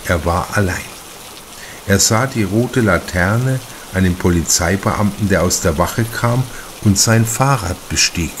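A man reading a crime novel as an audiobook narrator, in a measured, deliberate manner with careful pauses. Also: sits low, noisy background.

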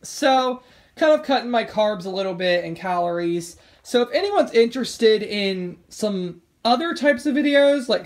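A woman talking in a casual, rambling, informal way as a vlogger, with a creaky voice, recorded indoors at home on a handheld camera. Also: mid-range voice, darkish tone. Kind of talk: casual haul vlog chat. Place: indoors at home, over a table of groceries.

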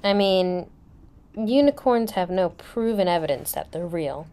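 A woman's voice: on the low side, expressive, unhurried, warm tone. A woman playing a helpful school teacher in a casual improvised game roleplay. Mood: explaining. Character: attentive school teacher and lunch server at private school. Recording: noisy home mic with room ambience.

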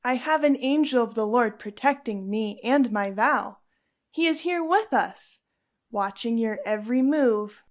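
A woman's voice: on the high side, animated, a little slow. An actress playing a devout, resolute young Roman noblewoman in an audio drama, speaking earnestly and firmly. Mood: solemn, firm. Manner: earnest, firm.

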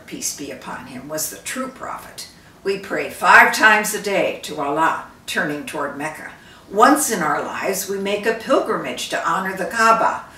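A woman's voice: medium pitch, sharp tone. An older woman reading the part of a devout, dignified prince, solemnly explaining himself in a story. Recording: roomy, distant microphone.

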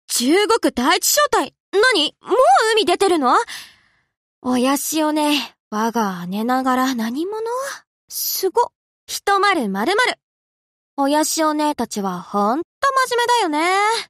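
High-pitched, easygoing anime navy girl. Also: a bit bright, no room sound, clean studio sound, full character voice. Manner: casual slangy girlish speech. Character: easygoing young navy destroyer girl, slangy, dutiful but sleepy. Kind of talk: Japanese anime game character voice lines.

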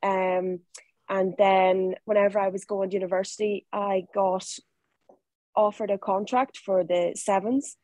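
A woman's voice: mid-range voice, measured pace, dry recording. A young woman with a Northern Irish accent, chatting easily as an interview guest over a video call. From Northern Ireland. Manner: relaxed, chatty, laughs easily. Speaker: rugby player guest. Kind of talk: casual interview answers. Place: home room, video call.

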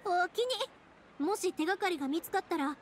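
A woman's voice: very high voice, brisk, slight background noise. A woman playing a caring, upbeat young game heroine with a Kansai accent, speaking in a reassuring, folksy way. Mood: concerned. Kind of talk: anime game voice acting. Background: background music.

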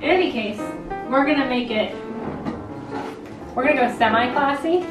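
Young American woman, playful home-cooking host, distant mic, loud guitar and piano music behind. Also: high-pitched, expressive, normal speed, echoey room. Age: young adult. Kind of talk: casual recipe demonstration. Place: home kitchen, indoors. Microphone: camera a few steps away.

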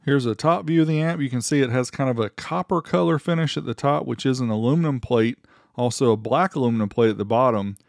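A man's voice: mid-range voice, quick pace, gravelly, darkish tone, no echo, studio quality. A middle-aged American man giving a casual product review voice-over, with playful, showy emphasis. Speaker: host of car audio amplifier review channel. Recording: music faintly behind the voice. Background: background music.

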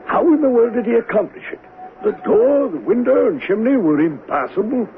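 An actor playing a puzzled, earnest doctor, a detective's companion, speaking formally in a 1970s radio drama. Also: male voice, very high voice, big pitch swings, brisk. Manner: formal, baffled. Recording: tinny old radio broadcast, faint noise.

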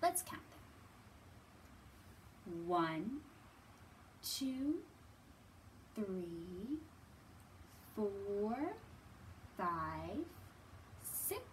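Slow, lilting woman hosting children's storytime. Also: mid-range voice, very animated, slight background noise, low-quality recording. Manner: simple questions to small children, drawn-out words, thoughtful hums. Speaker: children's librarian and storytime host. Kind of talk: children's storytime teaching, read-aloud. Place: indoors in a library.

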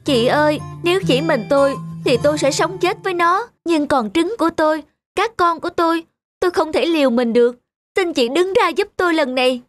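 Woman as a high-voiced anxious little mother bird. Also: a little fast, faint music behind, lightly acted. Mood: pleading. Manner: pleading, earnest. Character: small, anxious, earnest mother songbird. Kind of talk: cartoon animal fable acting.

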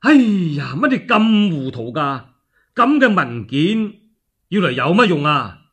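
A man's voice: a little high, big pitch swings, takes it slowly, fairly bright tone, husky, heavily acted. A man voicing an authoritative, scheming ruler with a Cantonese accent, speaking emphatically in a radio story. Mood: scolding. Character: commanding male ruler, quick to scold and scheme.

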